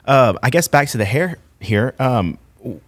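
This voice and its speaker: A man's voice: mid-range voice, expressive, a little slow, dry recording. A young man from Texas, hosting a podcast in casual chat, often repeating words and laughing. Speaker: podcast host.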